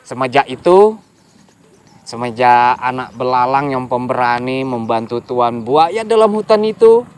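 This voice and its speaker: Slow, lively male children's storyteller. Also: medium pitch, clear, resonant tone. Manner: lively, addresses young listeners directly. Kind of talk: children's folk-tale storytelling.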